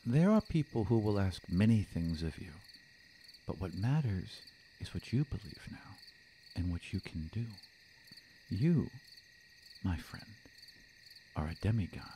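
A man voicing a serene, reverent female envoy of the gods in a tabletop game, speaking in measured, formal proclamations. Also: sits low, very animated, warm tone, slight background noise, voice pushed down. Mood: solemn. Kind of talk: tabletop roleplay acting.

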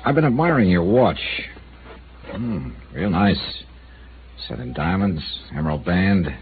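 A sharp, dry insurance investigator who questions with quiet needling. His voice is very deep yet moves lively through its range. It comes through as a tinny old radio broadcast with a faint hiss. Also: unhurried. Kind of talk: 1950s radio drama acting.

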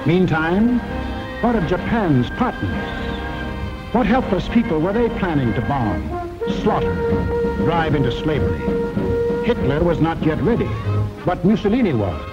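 A man's voice: fairly high pitch, very animated, a little slow. A man narrating a 1940s wartime propaganda film in a dramatic, emphatic, rhetorical way, on an old film soundtrack. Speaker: documentary narrator. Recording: muffled old film soundtrack, loud music.

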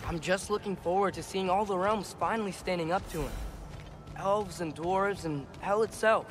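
An actor playing an earnest, hopeful teenage warrior son in a video game, speaking in an eager, conversational way. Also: male voice, sits high, normal speed, natural voice. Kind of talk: video game drama acting. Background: loud music.

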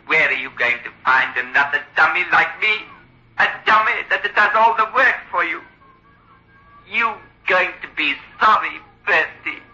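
A sinister male dummy come to life, with a high, piercingly bright voice. He taunts and threatens in old radio horror style, through a dull, lo-fi recording. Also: animated, normal speed. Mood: defiant, taunting. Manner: taunting, needling. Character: sinister living male ventriloquist's dummy, defiant and menacing.

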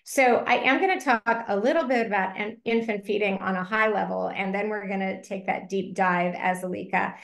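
A middle-aged woman pediatrician presents in a webinar over a video call. Her voice carries a gravelly fry at the edges, and she explains in a clear, earnest way for families. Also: medium pitch, normal speed, hardly any pauses, fairly bright tone. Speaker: pediatrician, medical advisor.

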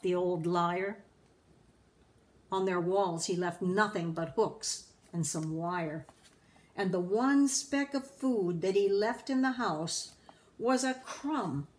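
A woman in her sixties reading a children's storybook aloud expressively, deliberately and with emphatic pauses. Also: mid-range voice. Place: living room at home.

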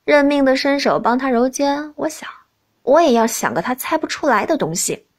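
A woman narrating a novel in the first person as an expressive audiobook reader. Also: high voice, normal speed.

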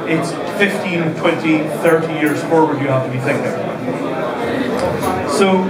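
A middle-aged man delivers an emphatic public talk into a handheld mic, building his points through repeated phrases. His voice sits a little high and bounces around a roomy hall. He is picked up from a distance, with a packed audience around him. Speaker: conference speaker. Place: dim event room with projector screen and seated audience. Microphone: handheld mic, recorded by a far camera. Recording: roomy hall audio from far off, crowd present.

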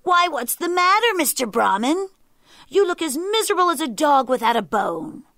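A storybook jackal, played by a woman, sly underneath and hopelessly muddled on top. The voice sits high and swings widely, with drawn-out exclamations of puzzlement. It is recorded close and dry. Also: sing-song, pushed and bright, dry recording, heavily acted. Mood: nosy, concerned. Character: sly jackal feigning muddled, dim-witted confusion. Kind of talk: animal folktale storytelling.

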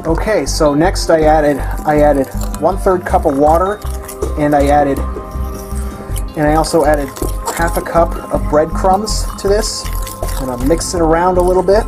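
A man's voice: a little high, normal speed, over music. A young man hosting a casual home cooking tutorial in his kitchen, talking through each step with frequent restarts and self-corrections. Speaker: cooking show host.

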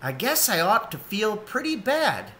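Guy doing kindly storybook otter mama, pitched up a bit, plain n hopeful, bright voice. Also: wildly swooping pitch, unhurried, a little hiss or hum, full character voice. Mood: gently cheerful. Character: Ma otter, plucky, kindly, hard-up storybook animal mother.

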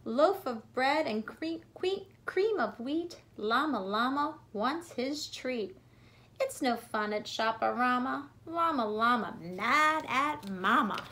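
A woman reads a children's picture book aloud in an expressive, rhythmic, deliberate way for young listeners. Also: high voice, noisy background. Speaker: preschool teacher reading aloud. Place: bedroom at home.

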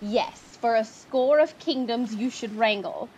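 A woman's voice: fairly high pitch, a little slow, darkish tone, a little hiss or hum, natural voice, rough recording. A woman playing an innocent, wonderstruck young noblewoman in love, sweet and earnest, in a Shakespearean radio play. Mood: playfully loving. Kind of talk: Shakespearean audio drama acting.

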